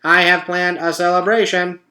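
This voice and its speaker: A man's voice: high-pitched, flat delivery, moderate pace, pushed and bright, voice pushed up. A man voicing a cheerful, polite female robot, speaking in a perky, formal announcing way. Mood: perky, welcoming. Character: cheerful, polite female robot addressing her animal friends.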